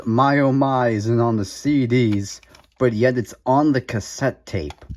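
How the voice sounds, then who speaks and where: A man's voice: medium pitch, a little mellow, a little hiss or hum. A man casually talking through his cassette collection in a video, offhand and sarcastic, with dry mumbled asides. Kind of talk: casual show-and-tell vlog.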